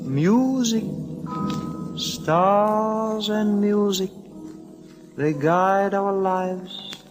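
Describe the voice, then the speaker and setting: An actress playing a solemn, theatrical fortune-teller madame in 1940s radio style, with a slow, commanding delivery. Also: female voice, medium pitch. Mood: solemn, trancelike. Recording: old radio recording with music.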